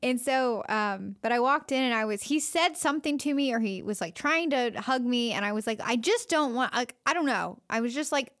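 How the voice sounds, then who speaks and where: Casual co-host, woman, late 20s, slightly high voice, rambling storyteller. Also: animated, fairly quick, few pauses, a bit bright. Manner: chatty storyteller, many fillers, laughing often. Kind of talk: casual couple chat.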